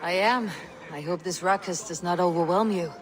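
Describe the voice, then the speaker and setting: A woman's voice: fairly low pitch, normal speed, close to an everyday voice. An actress playing a loyal, steadfast Viking warrior woman, direct and wry, in video game dialogue. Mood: wry, friendly. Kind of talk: dramatic game cutscene dialogue.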